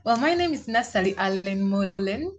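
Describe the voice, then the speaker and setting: A woman's voice: mid-range voice, expressive, moderate pace, lo-fi sound. Young Ugandan woman telling her story. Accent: Ugandan English. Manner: laughs easily, speaks plainly. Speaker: guest. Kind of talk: casual interview chat.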